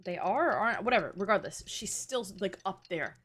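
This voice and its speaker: A woman's voice: medium pitch, animated, a little fast, fairly bright tone, husky. A young adult woman commenting casually on a game livestream, slangy, reactive and rambling. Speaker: game streamer. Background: game music.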